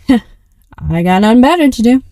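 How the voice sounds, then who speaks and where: A woman acts as a sweet young superheroine in an audio drama. Her highish voice is lively and youthful, with a guarded awkwardness, recorded close and dry. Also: unhurried, dry recording. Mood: amused, casual.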